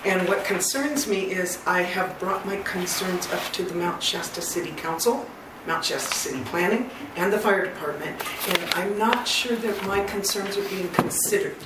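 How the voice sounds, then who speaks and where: Woman, loud voice, earnest public commenter, echoey hall, distant mic. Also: on the low side, moderate pace, heavy background noise. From Northern California. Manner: earnest, detailed, persistent.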